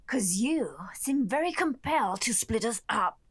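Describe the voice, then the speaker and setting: A woman's voice: fairly high pitch, expressive, a little slow, husky. A woman playing an obsessive, possessive and volatile lover with sweet menace in an audio horror drama. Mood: angry, accusing.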